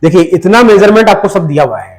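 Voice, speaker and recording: A man with a hoarse voice from a cold, patiently explaining a math lesson step by step and talking straight to the viewer. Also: high-pitched, animated, darkish tone, faint music behind. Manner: patient, addresses the viewer directly, repeats numbers slowly. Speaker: math teacher.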